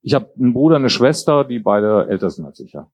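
A man's voice: medium pitch, sing-song, fast, darkish tone, no echo. A man in his fifties, raised bilingual in German and English, talking reflectively and hesitantly as an interview guest. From born in Jordan, grew up in England and Germany. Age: 50s. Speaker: interview guest, writer and political columnist. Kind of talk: casual, reflective interview conversation.

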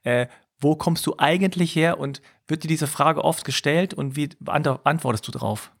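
A man hosting a podcast group chat in a playful, lively, joking way. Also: on the high side, moderate pace, creaky. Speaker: podcast host.

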